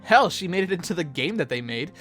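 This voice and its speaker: A video host giving casual, expressive conversational commentary. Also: mid-range voice, fairly quick, faint music behind.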